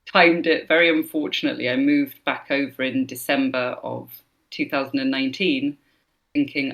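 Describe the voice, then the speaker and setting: A woman's voice: low-pitched, fairly bright tone. A woman from Scotland with no Scottish accent, a voice actor chatting thoughtfully in an interview, with many fillers. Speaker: voice actor, guest. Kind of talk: casual interview chat.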